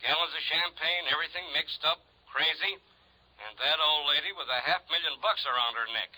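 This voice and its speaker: Sharp, raspy insurance man on telephone. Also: on the high side, fairly quick, rough recording. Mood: urgent, pitching. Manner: terse, punchy fragments. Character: worried, urgent insurance company executive on telephone. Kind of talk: 1950s radio acting.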